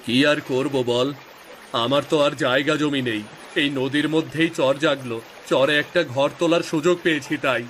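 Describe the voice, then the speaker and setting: A man's voice: fairly high pitch, steady tone, moderate pace, a bit bright, a little hiss or hum, natural voice. A man playing a weary, regretful village fisherman in a cartoon story, lamenting and sighing. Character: weary, regretful fisherman, husband of two wives. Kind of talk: scripted cartoon story acting. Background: music.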